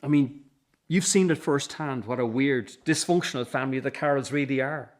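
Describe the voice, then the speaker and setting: An actor in a radio drama playing a weary, earnest circus boss and troubled son, with an Irish accent. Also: male voice, mid-range voice, normal speed, natural voice. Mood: tender, earnest.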